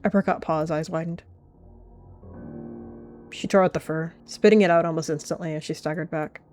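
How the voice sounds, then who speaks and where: A woman narrating her character's actions in an animal roleplay in an even, measured storytelling way. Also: low voice, faint music behind. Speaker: roleplay player.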